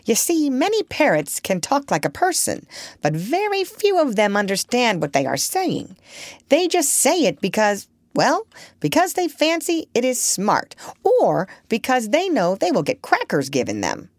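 A woman voicing an elderly female parrot who chatters on with excitable gossip. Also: fairly high pitch, measured pace, no echo, studio quality, voice pushed up, heavily acted. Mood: chatty. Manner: excitable, talkative, breathless gossip.

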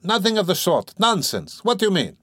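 A man voicing a flustered, excitable young man who stammers and blusters in a dramatised audiobook reading. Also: medium pitch, normal speed, no room sound, studio quality, voice pushed up. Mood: indignant. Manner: stammering, exclamatory, indignant.

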